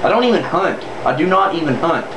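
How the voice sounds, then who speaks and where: A man's voice: on the high side, expressive, a little fast. A man with a Southern US accent delivering an off-the-cuff political monologue in a sarcastic, emphatic way, repeating phrases for effect. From southern United States. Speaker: political vlogger. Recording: roomy, distant mic, noticeable air-conditioner-like noise.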